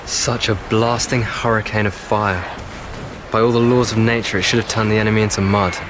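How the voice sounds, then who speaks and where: Man acting as young WWI British infantryman, low voice, awed and rueful recollection, audio drama, artillery noise behind. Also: little pitch movement, fairly quick, natural voice. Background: artillery fire, outdoor ambience.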